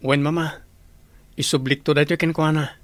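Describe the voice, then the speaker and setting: Casting: man playing young adult son in a radio drama; earnest, reassuring, lightly high-pitched, dry recording. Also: little pitch movement, normal speed, no room sound, natural voice. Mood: agreeable. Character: dutiful young adult son, soothing his mother. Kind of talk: Ilocano radio drama acting.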